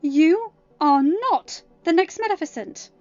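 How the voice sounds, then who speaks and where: Commanding storybook mother, played by a woman: high, forceful pitch, firm and indignant, slightly dull recording. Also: lively intonation, slow, no room sound, full character voice. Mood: firm, stern. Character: fierce, commanding, protective mother. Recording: dull lo-fi audio. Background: faint music.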